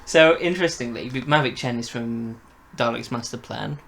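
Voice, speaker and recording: A man's voice: medium pitch, normal speed, a bit bright, slight background noise. A man in an enthusiastic, casual review chat, explaining details as a fan would. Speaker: review show host.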